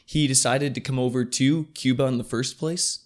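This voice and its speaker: A man's voice: medium pitch, fairly even intonation, normal speed. Male podcast host with a mid-range voice. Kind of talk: scripted introduction and interview questions.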